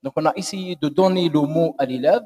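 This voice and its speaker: A man's voice: fairly high pitch, mellow. Man defending his thesis, reverberant hall. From Algeria. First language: Algerian Arabic. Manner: measured, explanatory academic tone. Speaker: doctoral candidate. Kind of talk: answering a thesis jury. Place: university lecture hall.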